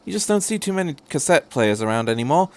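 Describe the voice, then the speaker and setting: A man's voice: fairly high pitch, sing-song, fast talker, dry recording, pitched above the performer's own voice. A man voicing a cheerful, chatty, eccentric male time traveller who rambles breezily in a fan fiction reading. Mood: apologetic, breezy.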